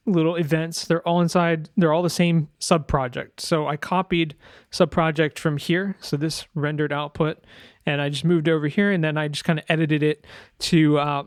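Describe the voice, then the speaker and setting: A man's voice: a little high, no room sound. Casual man's tutorial voice, dry and close. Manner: informal, loose, thinking aloud. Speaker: sound designer giving a tutorial. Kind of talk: casual screen-share tutorial walkthrough.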